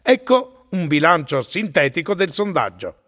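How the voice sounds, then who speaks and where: A man's voice: on the high side, big pitch swings, moderate pace, in a large hall, loud music behind the voice. A man with an Italian accent, asking questions and narrating a scripted radio theatre piece. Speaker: interviewer and narrator.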